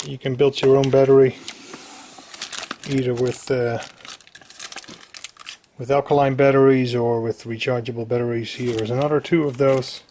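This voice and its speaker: A man giving a casual, unscripted hands-on equipment demonstration, halting and matter-of-fact as he goes. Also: mid-range voice. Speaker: radio hobbyist demonstrating equipment. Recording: faint background noise.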